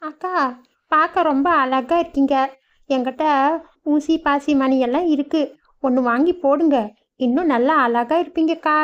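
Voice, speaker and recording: A woman voicing a pushy little village girl selling beads in a cartoon, flattering and wheedling. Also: high-pitched, moderate pace, pauses often, muffled recording, close to an everyday voice. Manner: wheedling sales talk. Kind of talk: cartoon voice acting.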